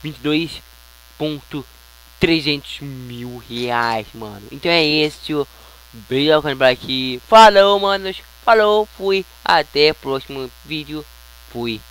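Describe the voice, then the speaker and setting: A man's voice: a little high, animated, slow, fairly bright tone, clear, resonant tone. A Brazilian man giving casual, slangy gameplay commentary, often muttering to himself. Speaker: gaming YouTuber. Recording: noisy home recording with electrical hum.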